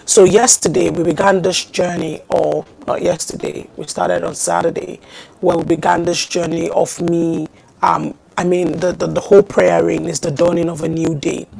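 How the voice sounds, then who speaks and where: A woman preaching a teaching sermon in a measured way, with frequent ums and repeated phrases. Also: lowish voice, level tone, vocal fry, darkish tone. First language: not Hebrew.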